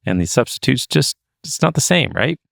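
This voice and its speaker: A man's voice: mid-range voice, very animated, moderate pace, gravelly, fairly bright tone, no room sound, studio quality. A man co-hosting a podcast, chatty and loose, with frequent fillers. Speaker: podcast co-host. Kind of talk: casual podcast chat.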